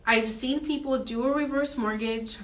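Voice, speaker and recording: A woman mortgage broker explaining home financing options in an earnest, steady advisory chat. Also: high voice, normal speed.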